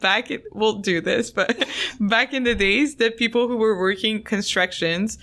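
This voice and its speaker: Quebec French-accented woman, bright tone. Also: a little high, measured pace. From Montreal. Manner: laughs often, explains with hesitations. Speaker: podcast co-host. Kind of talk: casual podcast chat.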